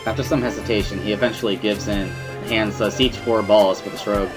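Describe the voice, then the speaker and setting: A man reading first-person visual novel narration in a dramatic, expressive storytelling manner. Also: lowish voice, fairly quick, over music.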